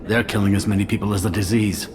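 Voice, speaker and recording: A man's voice: on the low side, steady tone, fast talker, over music, natural voice. An actor voicing an earnest, worried male survivor in a sci-fi video game.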